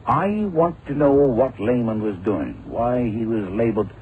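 A grave boss issues orders with dramatic, widely varied intonation. He is brisk and commanding, in a radio thriller. The recording is narrow and faintly noisy. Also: medium pitch, sing-song. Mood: insistent, commanding. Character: brisk, authoritative male American agency chief. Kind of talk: 1960s-70s radio drama acting.

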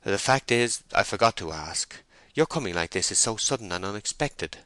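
Man as a guarded, offhand army sergeant, bright-edged. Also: on the low side, lively intonation, normal speed, no echo. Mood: placating, evasive. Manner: guarded, dismissive, noncommittal. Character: evasive, offhand male army sergeant, suspicious and reluctant.

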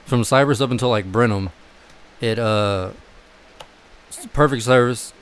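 A man's voice: mid-range voice, a little slow, clear, resonant tone. A young man co-hosting a casual podcast chat, rambling and swearing freely. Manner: rambling, profane, laid-back. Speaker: podcast co-host. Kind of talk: casual chat among friends.

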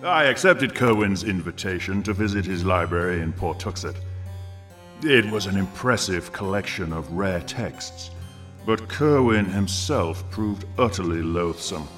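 A man playing a refined, disdainful elderly English gentleman scientist, giving a formal, measured account in a radio drama. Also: low-pitched, expressive. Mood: grave, disdainful. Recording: long hall reverb, music behind.

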